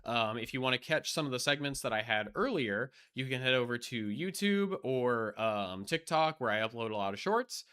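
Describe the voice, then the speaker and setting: Young male streamer, forceful bright tone, mid-pitch, loose rambly delivery full of "um" and "like", voice getting strained. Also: lively intonation, normal speed, few pauses, clear, resonant tone. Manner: rambling, many fillers and restarts; blunt and profane. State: losing his voice. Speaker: live streamer. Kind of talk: casual live-stream chat.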